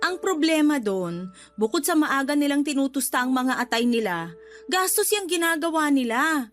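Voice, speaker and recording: A married woman in a radio drama, keeping house and laying down the law to her brother. Her voice is high, emphatic and preachy, over a soft music bed. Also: big pitch swings, normal speed. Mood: scolding, indignant. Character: exasperated married woman, homeowner scolding her older brother. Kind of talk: Tagalog radio drama acting.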